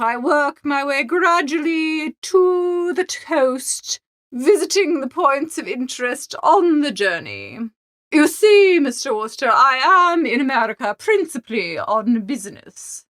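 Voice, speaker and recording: A woman's voice: sits high, a bit bright, dry recording, full character voice. A woman playing an imperious, self-satisfied older English society mother in a dramatised audiobook, grand and presumptuous in manner. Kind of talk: dramatised audiobook character dialogue.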